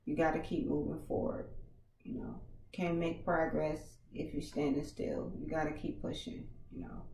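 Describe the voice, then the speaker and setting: A woman in her thirties with a naturally raspy voice, hosting a casual livestream podcast from a small home studio on a headset mic, chatting loosely with viewers in slang. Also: low-pitched, dark tone, echoey room, slight background noise. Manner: laid-back, slangy, talks to viewers in chat. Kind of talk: casual livestream chat reading comments. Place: small home studio with foam panels, indoors. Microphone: over-ear headset mic.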